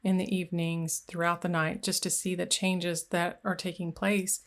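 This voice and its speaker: A woman's voice: fairly low pitch, flat delivery, moderate pace. A woman hosting a conversational interview, reflecting on personal caregiving experience with occasional restarts. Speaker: podcast host.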